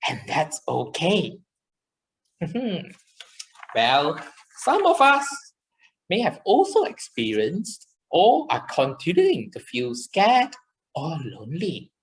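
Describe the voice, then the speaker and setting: Children's puppet show: woman as caring, chirpy child puppet, very animated pitch, unhurried, exclamatory delivery. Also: full character voice, rough recording. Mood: gentle, comforting. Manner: slow, exclamatory, encouraging, simple explanations.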